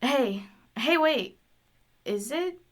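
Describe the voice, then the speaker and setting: A calm, practical wanderer played by a woman speaks slowly and dryly. The delivery is measured and deadpan, helpful in tone, as in audio drama dialogue. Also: sits high, heavily acted.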